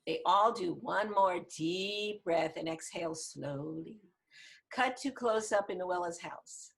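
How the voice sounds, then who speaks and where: A woman's voice: lowish voice, slow pace, creaky. A woman reading screenplay stage directions as scripted narration over a video call.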